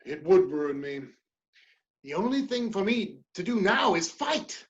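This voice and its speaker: A woman's voice: fairly low pitch, big pitch swings, unhurried, highs cut off. A woman playing a proud, ambitious male politician in a dramatic play reading, speaking with theatrical emphasis and defiance. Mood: anxious, resolute.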